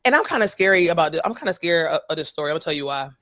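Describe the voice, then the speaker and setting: A woman co-hosting a podcast in casual chat, slightly hoarse after a cold, chatty and playful. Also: lowish voice, expressive, a bit bright. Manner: chatty, playful, talks in quick bursts. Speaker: podcast co-host.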